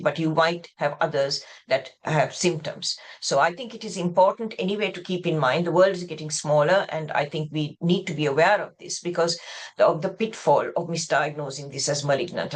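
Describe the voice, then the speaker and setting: Lower-pitched woman giving a medical lecture. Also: brisk. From Sri Lanka. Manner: explanatory, discursive, often rephrasing mid-sentence. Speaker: pathologist lecturer. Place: indoors, on a video call.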